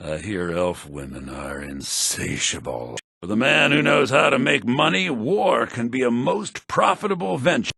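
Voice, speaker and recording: An actor playing a sly, money-minded, lecherous merchant in a video game, with a smug theatrical manner. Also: male voice, medium pitch, animated, a little slow, creaky, few pauses, bright, forceful tone, breathy edge, no room sound, clean studio sound, full character voice. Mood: sly, leering. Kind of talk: video game character dialogue lines.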